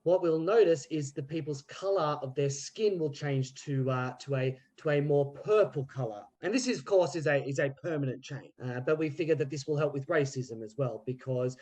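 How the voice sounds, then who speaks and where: A man's voice: on the high side, normal speed, few pauses, a little mellow. A man chatting as a podcast guest, hesitant and thinking aloud with many fillers. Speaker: theatre group member, guest. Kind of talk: casual podcast chat.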